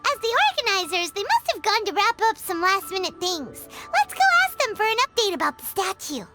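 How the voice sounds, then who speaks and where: A woman voicing a tiny, excitable childlike fairy companion in a video game, squeaky and exclamatory. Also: fairly quick, over loud music. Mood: chirpy. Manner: speaks in third person, exclamatory.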